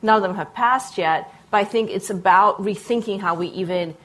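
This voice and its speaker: A woman of middle pitch answers an audience question from a conference panel. She is articulate and engaged, laying out her case in long, ordered sentences. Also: normal speed, a bit bright, rough recording. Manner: articulate and engaged, building long structured arguments.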